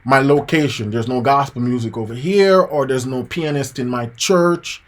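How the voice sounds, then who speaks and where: A man's voice: mid-range voice, moderate pace. A man from Jamaica in his thirties, a piano teacher live-streaming a motivational lesson, talking casually and repeating his points. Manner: casual and preachy, addresses viewers as guys and man, repeats key points.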